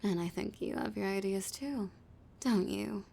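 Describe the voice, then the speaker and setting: A woman performs a seductive female villain in an intimate audio roleplay. Her voice is husky and breathy with a crackle of fry, sitting at a middle pitch. She draws her lines out very slowly, dominant and teasing, often sinking to a whisper. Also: dark tone, full character voice.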